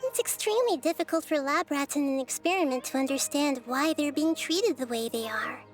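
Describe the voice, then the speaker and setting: A woman voicing a small, wise, girlish deity in a video game, speaking in a measured, calm and cryptic manner. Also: sits high, faint music behind. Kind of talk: video game character acting.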